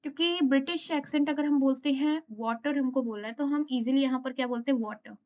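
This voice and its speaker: A woman with an Indian accent teaching a class, reading English notes aloud slowly and then explaining them again. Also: high voice, highs cut off.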